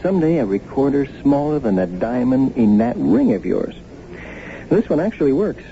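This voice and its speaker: A man's voice: fairly high pitch, very animated, normal speed, gravelly, dark tone. A man acting in a radio drama as a practical, easygoing husband who talks in a casual, matter-of-fact way. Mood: relaxed. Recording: muffled, noisy old radio broadcast.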